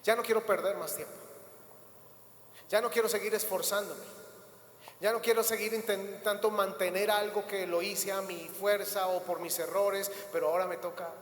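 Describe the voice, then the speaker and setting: A man's voice: high-pitched, fairly even intonation, normal speed, a little hiss or hum. A male pastor delivering an emphatic sermon, repeating words and building to rising bursts. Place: church hall. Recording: echoey church hall, faint ambience.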